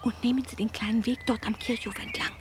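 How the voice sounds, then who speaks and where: Actress as hushed, ominous village nurse. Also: female voice, on the high side, steady tone, fast talker, whispered, close to an everyday voice. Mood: urgent, ominous. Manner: hushed, urgent, commanding. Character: secretive village midwife and nurse, ominously warning. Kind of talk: German radio drama acting.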